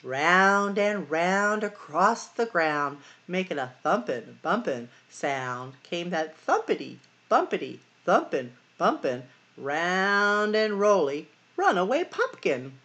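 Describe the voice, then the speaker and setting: A middle-aged woman hosting storytime, reading a picture book expressively to children with sing-song, drawn-out emphasis. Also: on the low side, sing-song, fairly bright tone. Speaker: library storytime host.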